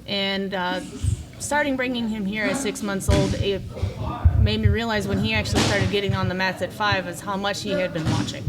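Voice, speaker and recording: A woman's voice: mid-range voice, steady tone, normal speed, loud music behind the voice. A woman in her thirties, a podcast guest telling a personal story in an earnest, candid way. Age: 30s. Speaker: podcast guest, jiu-jitsu athlete. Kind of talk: casual podcast chat. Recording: loud crowd chatter in room.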